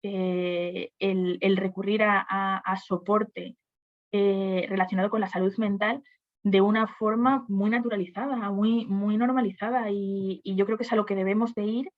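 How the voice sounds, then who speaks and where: A woman's voice: medium pitch, fairly even intonation, normal speed, narrow, tinny audio. A woman on a webinar panel discussing HR topics, speaking hesitantly with frequent restarts and fillers.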